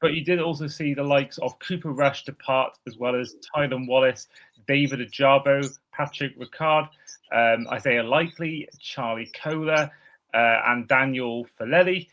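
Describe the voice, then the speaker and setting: A man hosting a football podcast, excitable and stammering a little at the start of phrases. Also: medium pitch, normal speed, low-quality recording. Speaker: podcast host. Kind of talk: casual podcast chat.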